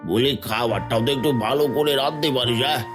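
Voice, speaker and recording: A man's voice: medium pitch, fast talker, over music, close to an everyday voice. A man voicing a grumbling, aging, poor villager who complains in a singsong cartoon style. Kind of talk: cartoon moral-story voice acting.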